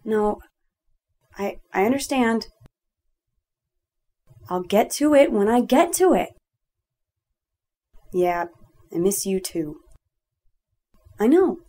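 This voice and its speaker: An actress playing a terse, put-upon agent in a video game. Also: female voice, mid-range voice, expressive, slow pace, long pauses, warm tone. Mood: subdued.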